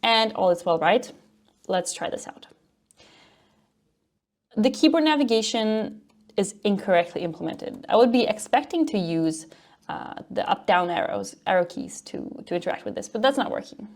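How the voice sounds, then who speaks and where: A woman from Norway speaks English. She presents as a conference speaker, explaining technical material in an informal, upbeat way. Her voice sits at an average pitch for a woman. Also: animated, moderate pace. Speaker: conference speaker, front-end developer and educator.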